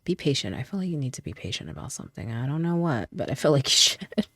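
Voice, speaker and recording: A woman with a cold giving a casual, chatty tarot reading, her voice stuffy and often dropping to a soft half-whisper. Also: very deep voice, big pitch swings, fast talker, dry recording. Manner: casual and chatty, with frequent laughs and fillers. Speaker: tarot reader.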